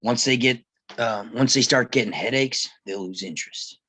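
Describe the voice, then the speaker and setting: A man acts out a reluctant, cautious frontier lawman at a table read. The voice is bright and forceful at a middle pitch, with a halting, plain-spoken delivery and a weary temper. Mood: cautious, dismissive. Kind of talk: live screenplay table read.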